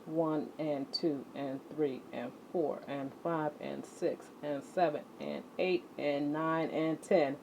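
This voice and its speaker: A woman teaching children as an exercise leader, speaking deliberately and emphatically, addressing her students directly. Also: sits low, fairly even intonation, a little mellow. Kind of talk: instructional lesson to children, read-along with warm-up exercises. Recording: muffled audio with clear background noise.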